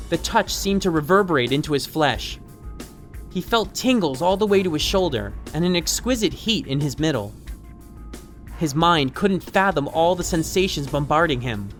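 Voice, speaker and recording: A man narrates a piece of fiction for an audiobook. He reads scripted prose as the storyteller. Faint music plays behind his voice. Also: a little high.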